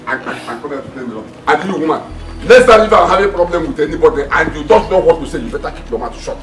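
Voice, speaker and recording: Man as bossy, furious father, rapid-fire, echoey distant sound. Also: a little high, animated, faint music behind. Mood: scolding. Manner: shouting, scolding, rapid-fire. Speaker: angry father who owns the keke.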